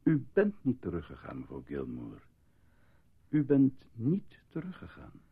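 A man's voice: medium pitch, huge pitch swings, a little slow, husky, exaggerated voice. A man acting in a radio drama as a stern, official interrogator who insistently corrects a witness. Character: stern male interrogator taping a shaken witness. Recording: dull phone-like band, dry.

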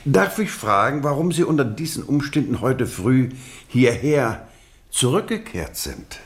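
A man acting in a German radio drama as a courteous, probing investigator, speaking in a measured, ironically polite and rhetorical way. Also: mid-range voice, big pitch swings. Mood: pointed questioning.

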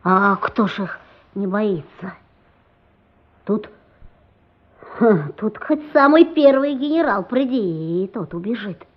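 A woman playing a superstitious street boy in a radio play, telling scary tales in a hushed, drawn-out way. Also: medium pitch, expressive. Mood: spooky, fearful. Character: spooky-storytelling street boy, wide-eyed and superstitious. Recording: muffled radio play recording.

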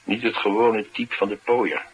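An actor playing a tough, cynical, streetwise bodyguard in a radio play, talking in a colloquial, matter-of-fact way. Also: male voice, lowish voice, steady tone, normal speed, slightly rough, narrow, tinny audio. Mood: wry, candid. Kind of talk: naturalistic radio drama dialogue.

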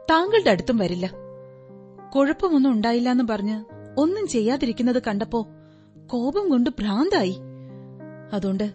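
A woman acting an earnest, high-voiced, talkative client. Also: warm tone, natural voice. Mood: indignant. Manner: polite, earnest, explaining in detail. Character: earnest, talkative unmarried woman client, polite but indignant. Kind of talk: radio drama acting. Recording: radio drama with music behind. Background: music.

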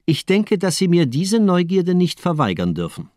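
A cold, fanatical villain of radio crime drama. He is a nihilist doctor who declaims in mocking, rhetorical speeches. His pitch moves in big sweeps, and the sound is dry. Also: big pitch swings, normal speed, darkish tone, no echo. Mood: mocking, challenging.

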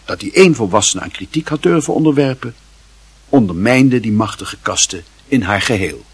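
A man narrating a radio play in a literary style, with measured, dramatic phrasing. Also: lowish voice, lively intonation, breathy edge, no echo.

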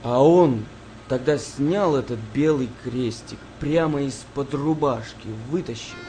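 A man's voice: medium pitch, measured pace, a little hiss or hum, natural voice. Hearty sailor spinning yarns acted by a man. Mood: reminiscing. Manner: colloquial, expressive storytelling. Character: hearty, earthy wartime sailor telling yarns. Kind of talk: Russian radio play acting, storytelling.

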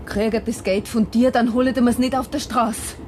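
Actress doing a young bavarian gal, defiant but guilt-ridden, radio play, vehicle noise behind. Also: female voice, fairly high pitch, fairly even intonation, moderate pace, darkish tone, natural voice. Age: young adult. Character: young woman, remorseful and defiant daughter. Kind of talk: radio drama dialogue in dialect.

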